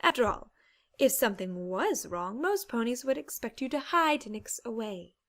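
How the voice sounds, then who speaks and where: Woman doing a posh-mannered girly pony lady, high voice, genteel and caring, kinda theatrical, audiobook character. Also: animated, normal speed, fairly bright tone. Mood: politely advising. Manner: genteel, polite, theatrical phrasing. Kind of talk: dramatized audiobook character acting.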